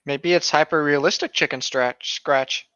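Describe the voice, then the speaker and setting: A man's voice: on the high side, fairly even intonation, moderate pace, pushed and bright, clear, resonant tone, no echo. A man co-hosting a show, reading a story aloud with casual, playful banter, recorded on a slightly dull home microphone. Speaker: podcast co-host reading and mocking internet horror stories.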